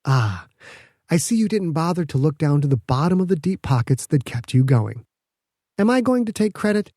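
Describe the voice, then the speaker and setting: A calculating woman governor from an audiobook, voiced by a man. The delivery is smug, pointed and measured, with a mellow, fairly high voice. The sound is dry, without room. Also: very animated, no room sound. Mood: condescending.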